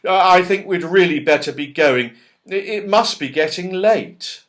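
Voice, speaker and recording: Man acting young boy, storybook, polite, flustered, hesitant, lightly raised pitch. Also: a little slow, fairly bright tone.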